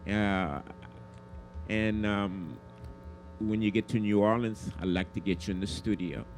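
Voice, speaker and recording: A man interviewing a musician for radio, asking unhurried questions with pauses and fillers. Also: fairly low pitch, slight background noise.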